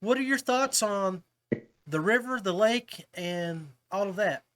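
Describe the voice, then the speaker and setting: A middle-aged man with a notably high-pitched voice hosts a casual interview show. He talks in a folksy, chummy way and is openly appreciative of his guests. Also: expressive, a little slow, a bit bright. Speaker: podcast host.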